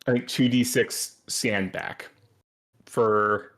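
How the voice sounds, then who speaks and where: A man running a tabletop game session as game master, halting and full of fillers, sometimes muttering to himself. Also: mid-range voice, fairly even intonation, a bit bright.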